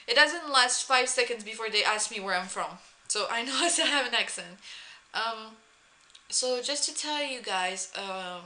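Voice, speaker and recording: A young woman with a French accent, a native French speaker, chatting casually to camera with many pauses and restarts. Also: a little high, pushed and bright. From France. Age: young adult. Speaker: vlogger, former exchange student.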